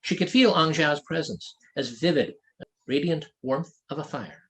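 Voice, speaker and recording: A man reading his own fantasy novel aloud as an author, in expressive scripted narration. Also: on the high side, a little slow, low-quality recording.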